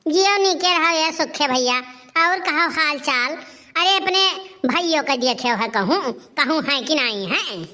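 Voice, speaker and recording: A woman's voice: sits high, expressive, unhurried, heavily acted. A woman voicing a bossy, fiery middle-aged village wife in a cartoon comedy, scolding in an Awadhi accent. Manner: scolding, loud calling.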